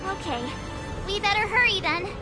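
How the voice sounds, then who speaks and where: An actress playing an earnest, youthful girl companion in a video game, girlish and animated. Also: very high voice, moderate pace. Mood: eager, flustered. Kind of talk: scripted video game voice acting. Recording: loud music behind the voice.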